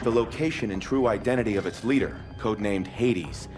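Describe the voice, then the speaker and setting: An actor playing a sober military briefer, delivering a formal scripted mission briefing. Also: male voice, lowish voice, a little fast, natural voice. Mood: sober, official. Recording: music behind.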